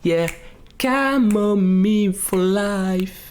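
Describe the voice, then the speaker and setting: A man's voice: high voice, drawn-out words, a little mellow, a little hiss or hum. A young man telling an internet mystery story on his channel in a joking, teasing way. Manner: playful, teasing, breaks into laughter. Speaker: YouTuber. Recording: roomy sound with background music.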